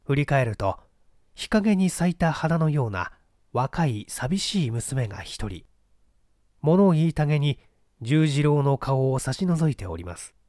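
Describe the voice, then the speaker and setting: A man narrating mystery fiction as a scripted literary reading, with measured, formal storytelling. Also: on the high side, very animated, darkish tone, no echo, clean studio sound. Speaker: literary narrator, stage performer.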